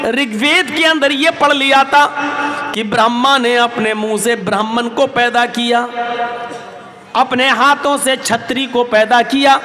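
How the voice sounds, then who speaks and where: High-pitched male preacher, echoing. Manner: oratorical, with rhetorical questions and emphatic repetition. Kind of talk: impassioned public sermon. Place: large public gathering, over a PA system. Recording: echoey loudspeaker hall, crowd behind.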